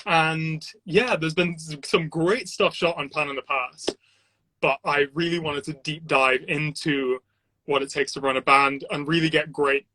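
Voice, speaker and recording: A man's voice: fairly high pitch, fairly even intonation, a little slow, pushed and bright, a little hiss or hum. A man who grew up in Trinidad, chatting casually as a guest and telling his story with many fillers and restarts. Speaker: guest, sound engineer and videographer. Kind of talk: casual chat on a video call. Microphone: Bluetooth headphones, then computer mic.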